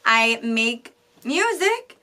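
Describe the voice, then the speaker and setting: A young woman from the New York and New Jersey area chats casually as an interview guest. Her voice is bright and fairly high. She phrases things in a bubbly, youthful way. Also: big pitch swings, extremely slow. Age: young adult. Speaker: pop singer being interviewed. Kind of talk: casual chat.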